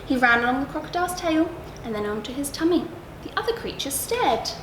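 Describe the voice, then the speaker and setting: A high-pitched woman reads a bedtime story to children. Her delivery is deliberate and dramatic, leaning on key words. The room is echoey, with noise in the background. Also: big pitch swings. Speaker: story reader at a school. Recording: roomy echo, clear background noise.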